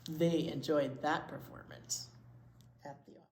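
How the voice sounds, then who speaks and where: American lady doing kids read aloud, super expressive, lowish voice, bit of room echo. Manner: expressive, acts out the story with drawn-out words and asides. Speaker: children's librarian and storytime reader. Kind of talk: read-aloud storytime for young children. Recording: roomy echo, faint background noise.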